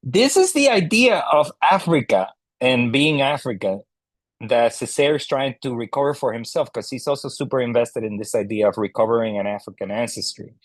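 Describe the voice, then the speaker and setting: A man talks about books on a video call, casual and digressive, often stopping to restart a sentence. His voice sits in a middle pitch and sounds close and dry. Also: animated, moderate pace, no room sound. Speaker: translator and scholar guest. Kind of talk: academic discussion at an online book club.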